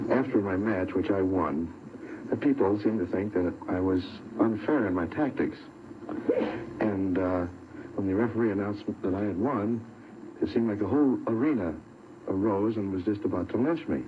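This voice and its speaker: An American man from Missouri telling a personal story as a radio guest, a little haltingly, on an old broadcast recording. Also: low voice, narrow, tinny audio, background noise. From Saint Louis, Missouri. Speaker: show guest telling an anecdote.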